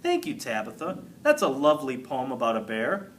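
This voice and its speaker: Man voicing a bright, lilting, kindly woman schoolteacher. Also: medium pitch, wildly swooping pitch, moderate pace, recorded from a distance, a little hiss or hum. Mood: encouraging, friendly. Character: kindly, encouraging woman schoolteacher leading her class.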